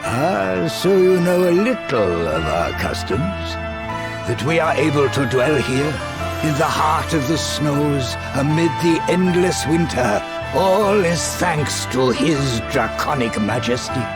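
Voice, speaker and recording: An actor playing a serene, unctuous archbishop of a dragon faith in a video game, lofty and measured. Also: male voice, fairly high pitch, animated. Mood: serene, pious. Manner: lofty, measured, falsely benevolent. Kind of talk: dramatic video game acting. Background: loud music.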